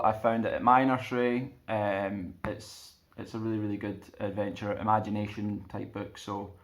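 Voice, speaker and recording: A man hosting a storytime and reading aloud for children in an expressive storyteller's style. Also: on the low side. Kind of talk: casual intro and slow expressive storybook narration.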